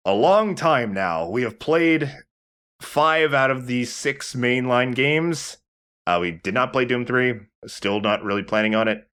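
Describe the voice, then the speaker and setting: A man hosting a let's play, giving casual gaming commentary in a halting, conversational way. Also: medium pitch, fairly bright tone.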